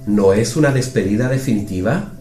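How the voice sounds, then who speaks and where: A man's voice: on the low side, lively intonation, fairly quick, dark tone, natural voice. A man playing a pensive, reflective disciple in a youth radio play, recorded in a roomy echo with music behind.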